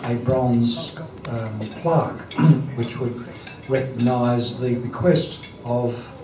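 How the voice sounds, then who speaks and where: A man hosting a lunch event, giving a halting formal introduction full of ums and throat clearing. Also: mid-range voice, crowd noise. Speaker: event host introducing a speaker. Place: dining hall at a lunch event. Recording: roomy hall, distant mic, clattering dishes.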